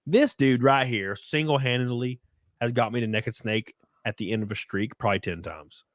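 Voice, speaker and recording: A man's voice: mid-range voice, normal speed, no echo. A man hosting a livestream, thanking donors in a rambling, profane way, sniffly and a bit tipsy. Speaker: streamer host. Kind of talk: casual livestream chat, reading donations.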